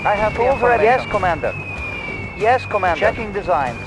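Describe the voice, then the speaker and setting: Eager high-pitched engineer. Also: male voice, big pitch swings, brisk, very noisy. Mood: eager, obedient. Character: eager, obedient male engineer, mild and bookish. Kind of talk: short unit acknowledgements.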